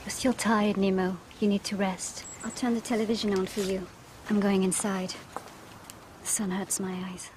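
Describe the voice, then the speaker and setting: An actress as a tender wife talking softly and soothingly to her husband. Clear noise from the surroundings runs under her voice. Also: medium pitch, little pitch movement, moderate pace, natural voice. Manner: soft, soothing.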